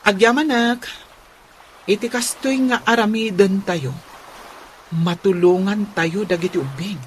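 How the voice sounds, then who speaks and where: A woman playing a caring, practical friend in a radio drama, expressive and deliberate. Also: mid-range voice, natural voice. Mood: grateful, businesslike. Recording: noisy recording with background ambience.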